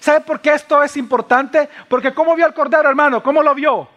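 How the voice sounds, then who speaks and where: A man preaching a sermon as a pastor, impassioned and dramatic, swinging between loud cries and hushed lines, with rhetorical questions and repeated phrases. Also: extremely high pitch, steady tone, a little fast, highs cut off. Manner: rhetorical questions and repetition for emphasis. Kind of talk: sermon, expository Bible preaching.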